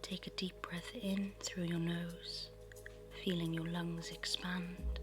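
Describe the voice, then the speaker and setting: Woman, very slow pace, soft breathy half-whisper, soothing guided meditation narration, prominent music behind. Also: lowish voice, level tone.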